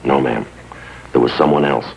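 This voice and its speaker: A man playing a stoic American police detective in a 1950s TV drama, deadpan and clipped. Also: a bass voice, moderate pace, creaky, slight background noise. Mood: stoic, firm. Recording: dull vintage TV soundtrack.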